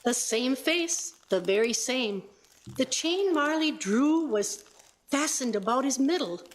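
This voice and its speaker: A woman's voice: sits high, expressive, slow pace, rough recording. A woman narrating a radio play in a dramatic storybook style.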